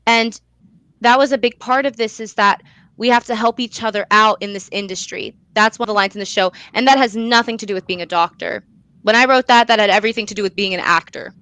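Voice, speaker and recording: A woman's voice: mid-range voice, fast, pushed and bright, clear, resonant tone, no room sound, rough recording. A young adult woman answering in a conversational interview, earnest and emphatic, repeating phrases for stress. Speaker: guest, student actor and playwright.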